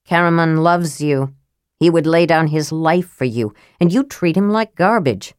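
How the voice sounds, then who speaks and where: A grave, honorable young male knight who speaks low and measured, reproachful but restrained, voiced by a woman reading aloud. The sound is deep for her and dry, with no room around it. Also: clear, resonant tone, no room sound. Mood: quiet, reproachful. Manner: quiet, measured and stern. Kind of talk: audiobook character voice.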